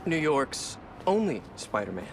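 Young superhero mentor, American accent, game cutscene, casual, joking, encouraging, animated pitch, noisy outdoor backdrop. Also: male voice, measured pace. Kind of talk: video game cutscene dialogue.

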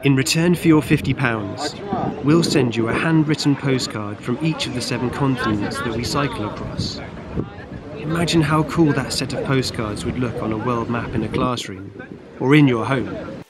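A man's voice: medium pitch, moderate pace, a loud crowd. A man in his forties making an upbeat, earnest crowdfunding appeal for his long cycling trip. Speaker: long-distance cyclist raising funds. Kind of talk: crowdfunding appeal, mix of voice-over and to-camera talk. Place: outdoors in a city park.

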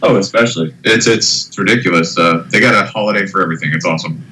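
A man's voice: sits low, fast, sharp tone, slight background noise, poor audio. A man in his thirties, a podcast guest, chatting in a laid-back, dryly joking way. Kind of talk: casual chat.

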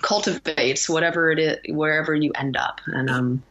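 A woman's voice: deep voice, fairly even intonation, normal speed, a bit bright. A woman speaking as an interview guest in casual, reflective chat, rambling and interrupting herself, on slightly muffled audio.

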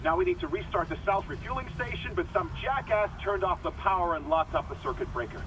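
Weary spaceship engineer, noisy recording. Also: male voice, high voice, lively intonation, fast talker, gravelly. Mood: frustrated. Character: weary, alarmed male engineer aboard a mining spaceship. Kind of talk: dramatic game audio-log acting.

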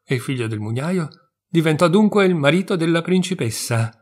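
A man reading a fairy tale aloud as a storyteller, with animated, expressive narration. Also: on the high side, fairly quick.

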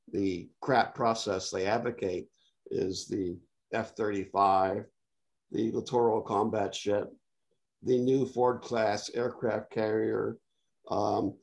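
An older man speaking bluntly and dryly, with many filled pauses, on a video call from a home study. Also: on the low side, a little mellow. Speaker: panelist. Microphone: laptop webcam on a video call.

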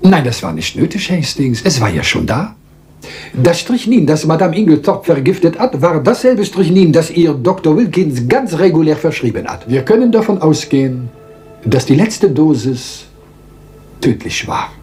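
Lively French-accented little detective. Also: male voice, fairly high pitch. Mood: teasing, lecturing. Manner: theatrical, deliberate, polite, lecturing, teasing rhetorical questions. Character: small, meticulous, self-assured Belgian detective. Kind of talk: German radio crime drama acting.

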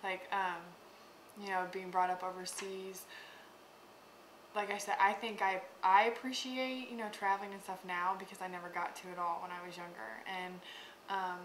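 A woman's voice: medium pitch, little pitch movement, normal speed, fairly bright tone, slight background noise. Chatty woman in an echoey room. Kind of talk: documentary interview.

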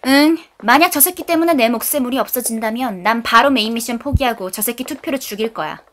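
A woman's voice: a little high, a little fast, a bit bright, lightly acted. A woman voicing a hostile young woman in an amateur audio drama, cursing and talking with sarcastic contempt. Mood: contemptuous.

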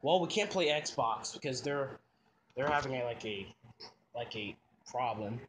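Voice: mid-range voice, lively intonation, measured pace, fairly bright tone, slight background noise. A performer voicing a grumpy Koopa brother in a toy skit, muttering curtly and dismissively. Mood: reluctant.

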